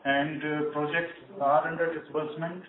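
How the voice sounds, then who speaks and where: A man speaking as a bank executive, giving measured, matter-of-fact answers on an earnings call. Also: fairly high pitch, level tone, crowd noise. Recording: distant echoey room, noisy phone line.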